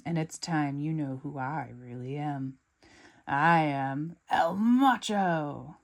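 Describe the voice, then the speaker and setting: A woman's voice: low voice, takes it slowly. A woman reading a storybook aloud, voicing a big, boastful male villain who swings between jovial and menacing.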